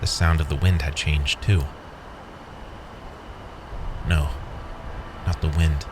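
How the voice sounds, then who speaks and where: A man's voice: a bass voice, level tone, slow pace, background noise. A man reading scripted first-person horror fiction as the narrator.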